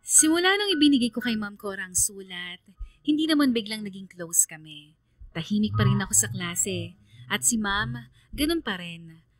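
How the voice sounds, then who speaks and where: Reflective schoolgirl narrator voiced by a woman, medium pitch, measured and wistful, music underneath, radio drama storytelling. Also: animated, lightly acted. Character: reflective, quiet female student narrating her memories.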